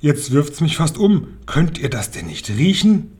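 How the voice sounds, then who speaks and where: A man voicing an excitable female witch with a shrill, piercing voice, wailing urgently in a storybook reading. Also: a little slow, husky, heavily acted. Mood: excited, urgent. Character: shrieking, excitable large witch sniffing out children.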